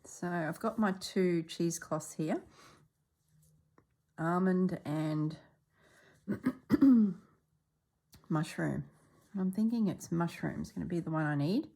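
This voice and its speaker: A middle-aged woman from Australia chatting casually as she stitches, a little congested and clearing her throat, sometimes dropping to soft murmurs. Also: fairly low pitch, slow, long pauses. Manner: chatty, relaxed, drops into soft murmurs while working. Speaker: stitching vlogger. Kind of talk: casual chat while stitching.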